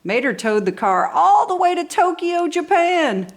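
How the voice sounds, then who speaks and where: A woman's voice: extremely high pitch, big pitch swings, a little slow. A woman reading a children's story aloud with enthusiasm and dramatic emphasis. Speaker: storybook reader. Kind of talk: expressive children's story narration.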